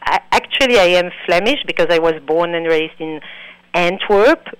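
A woman from Belgium with a French accent, a documentary filmmaker answering interview questions in an earnest, halting way that repeats words. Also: lowish voice, animated, clear, resonant tone, no room sound, poor audio.

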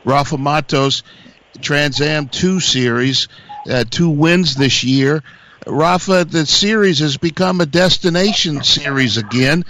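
A man interviewing a driver, asking measured, halting questions. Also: a little high, background noise.

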